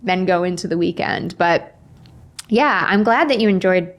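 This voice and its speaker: A woman in her forties chatting casually as a podcast guest, telling personal stories in a candid, playful, animated way and acting out quotes. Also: on the low side, normal speed. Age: 40s. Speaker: podcast guest, memoir author. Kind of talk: casual chatty interview storytelling.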